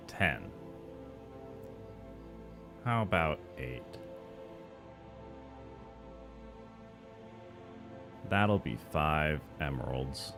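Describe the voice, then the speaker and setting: A man voicing a gloomy, weary shopkeeper in an animation, droning with flat, resigned effort at pep. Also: very deep voice, creaky, full character voice. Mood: terse haggling. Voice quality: droning, Squidward-like tone. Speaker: voice actor recording takes. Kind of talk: animated cartoon voice acting.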